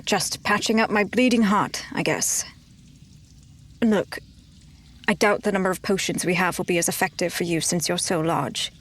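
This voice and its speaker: A woman's voice: fairly low pitch, normal speed. A woman playing a tabletop roleplaying game, moving between in-character dialogue and casual table talk.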